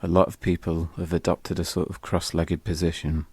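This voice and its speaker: A man narrating a first-person audio memoir in a reflective way, in short, measured phrases. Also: sits low, flat delivery, darkish tone, husky, no echo. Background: music.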